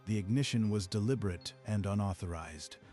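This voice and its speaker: An actor playing a principled, unyielding safety consultant in a manga dub, measured and firm. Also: male voice, on the low side, dark tone, faint music behind, close to an everyday voice. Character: grave, principled man, senior wildfire prevention consultant.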